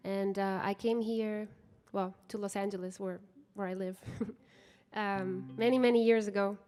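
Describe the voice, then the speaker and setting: A woman from Guatemala, a singer talking earnestly and gratefully to a concert audience between songs, with many pauses. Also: mid-range voice, fairly even intonation, darkish tone. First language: Spanish. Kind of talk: between-song stage talk. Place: concert stage in a large hall. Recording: live hall reverb with guitar behind.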